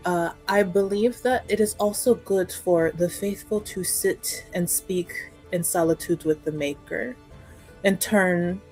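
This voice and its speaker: A woman playing a courteous, diplomatic noblewoman in a tabletop game, with measured, tactful phrasing. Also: lowish voice, darkish tone.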